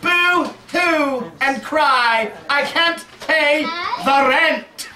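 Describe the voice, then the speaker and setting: A high, drawn-out voice for a melodramatic young puppet girl, acted out by a man. She is sassy and complains with exaggerated whining. The live show is picked up from a distance in a roomy space. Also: crowd noise, heavily acted. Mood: whiny, melodramatic.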